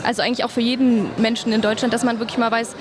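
Teen girl, student, high flat voice, hesitant, noisy outdoor background. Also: very fast. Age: teens. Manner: hesitant, repeats "wirklich". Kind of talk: interview remarks.

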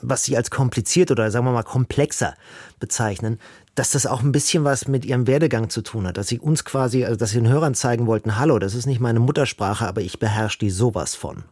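A man hosts a music programme in a medium-pitched voice. He talks conversationally and offers his own views as he goes. The sound is very dry and close. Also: fast talker, a little mellow, breathy edge, no echo. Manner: conversational, opinionated, thinking aloud. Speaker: radio music show host and interviewer. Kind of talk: radio interview with spoken introduction.

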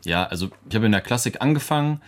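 A young man, a musician and producer, answering interview questions in a relaxed, rambling way with many fillers. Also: fairly low pitch, fast talker. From Germany. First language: English.

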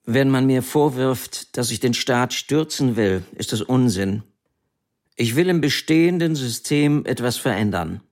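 An actor reading the court statement of a defiant ex-soldier defendant in a combative, insistent manner. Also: male voice, mid-range voice, expressive, measured pace, darkish tone, lightly acted. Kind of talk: re-enacted courtroom testimony.

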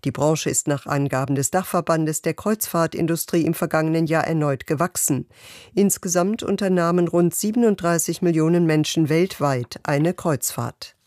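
A woman reading the radio news in a steady, measured way. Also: sits low, no echo, studio quality. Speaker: newsreader.

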